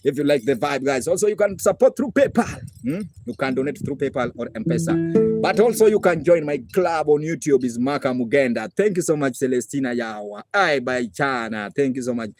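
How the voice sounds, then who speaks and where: A man's voice: mid-range voice, lively intonation, brisk. A man with a Kenyan accent hosting a live music stream, effusively thanking supporters and repeating himself. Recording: loud traditional string and rattle music. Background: plucked traditional instrument and rattle.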